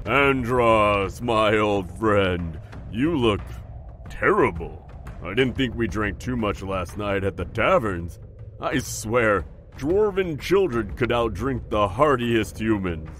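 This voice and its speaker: Weary hearty dwarf, voiced by a man, lowish pitch, unhurried, wry, fantasy drama, faint music. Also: animated, fairly bright tone. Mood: teasing. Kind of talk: fantasy audio drama acting.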